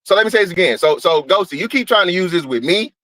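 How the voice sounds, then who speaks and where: A man's voice: high-pitched, lively intonation, fast, pushed and bright, no echo. A man on a livestream panel, arguing his point bluntly and insistently, swearing freely and repeating himself with emphasis. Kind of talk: heated debate on a livestream panel.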